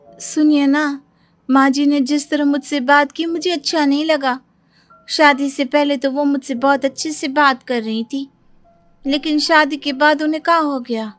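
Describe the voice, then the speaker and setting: Woman acting a high-pitched feisty rustic young bride. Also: fairly even intonation, a little slow, no room sound, faint music behind. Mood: troubled. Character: bold, defiant young nomad bride, protective of husband. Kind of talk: dramatized cartoon story dialogue.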